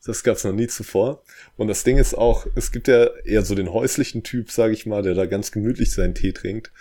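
A young man with a low-pitched voice talks as a podcast co-host. His delivery is casual and conversational, with a playful, tongue-in-cheek manner. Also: fairly quick, mellow.

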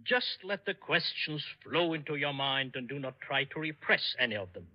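An actor playing an elderly, courtly psychoanalyst who talks in a formal, rambling way, in a 1940s radio drama. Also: male voice, a little high, animated, moderate pace. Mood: pedantic, composed. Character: elderly, verbose Viennese psychoanalyst, courtly and self-important. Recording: dull vintage 1940s radio recording.

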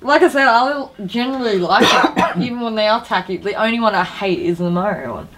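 A woman's voice: mid-range voice, animated, brisk, fairly bright tone, poor audio. A woman chatting casually as a podcast co-host, informal, slangy and emphatic. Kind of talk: casual chat. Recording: clear background noise.

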